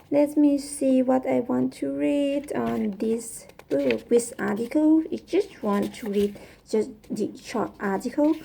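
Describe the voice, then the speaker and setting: A woman with a Thai accent talks slowly through her thoughts in English, her second language. Her voice is mellow and gravelly with a lot of vocal fry. She halts and restarts often as she searches for words. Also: on the high side. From Thailand. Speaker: vlogger. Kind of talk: casual English speaking practice.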